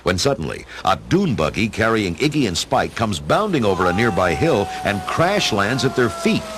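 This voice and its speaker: A man's voice: lowish voice, sing-song, normal speed. A man giving dramatic scripted narration as the narrator of a radio play. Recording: music behind the voice.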